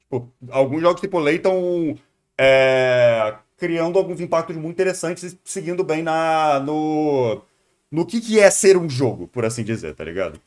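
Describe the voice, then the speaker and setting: Brazilian man with a higher-pitched voice, casually opinionated. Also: lively intonation, normal speed, darkish tone. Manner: opinionated, explanatory, informal slang. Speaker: streamer reacting to a video. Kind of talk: casual live commentary, answering chat.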